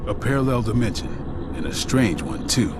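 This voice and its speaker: An actor playing a serious, steady space hero in a video game cutscene. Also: male voice, lowish voice, normal speed, very noisy. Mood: wary. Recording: loud music behind the voice.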